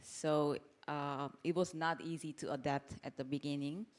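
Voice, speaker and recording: A woman, a soft-spoken guest creator answering panel questions with some hesitation. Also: deep voice, fairly even intonation, normal speed. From Korea.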